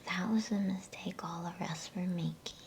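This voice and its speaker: Woman, ASMR whisper, bedtime story reading, breathy, crackly fry, unhurried, faint background noise. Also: lowish voice, fairly even intonation. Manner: hushed, unhurried, soothing delivery. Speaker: storybook reader.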